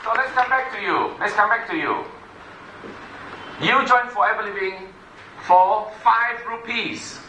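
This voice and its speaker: A man's voice: high-pitched, measured pace, pauses often, bright, forceful tone, roomy sound, some chatter behind, slight background noise, poor audio. A middle-aged man giving an emphatic stage presentation to an audience, repeating his key points. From Malaysia. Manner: emphatic, repetitive, addresses the audience directly. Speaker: motivational business speaker.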